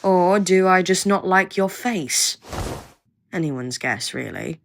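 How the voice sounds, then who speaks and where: Woman playing an impatient scientist, lowish pitch, sarcastic, blunt, dismissive, audio drama, faint background noise. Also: animated, slow, gravelly, heavily acted. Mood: annoyed, sarcastic. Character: snarky, impatient female scientist, grudgingly welcoming. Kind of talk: audio roleplay acting.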